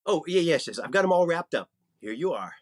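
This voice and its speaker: High-pitched, distraught store clerk. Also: male voice, sing-song, brisk. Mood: flustered. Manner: whining, self-pitying, repeats himself. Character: distraught male department store clerk cracking into hysterics. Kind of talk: old-time radio comedy acting.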